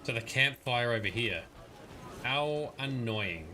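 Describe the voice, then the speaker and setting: A man's voice: mid-range voice, expressive, takes it slowly, heavy background noise. A man giving casual, rambling commentary while livestreaming a game, muttering asides and grumbling at the characters. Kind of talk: casual live gameplay commentary.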